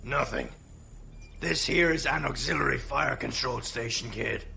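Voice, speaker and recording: A man playing a gruff, sarcastic veteran gunnery crewman in an audio drama. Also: medium pitch, a little slow, sharp tone, slight background noise, heavily acted. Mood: scornful, bored. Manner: gruff, scornful, dry.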